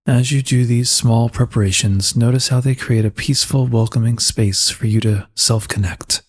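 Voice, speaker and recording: A man leading a slow guided meditation in a calm, soothing and unhurried manner, with a soft husky voice. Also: mid-range voice.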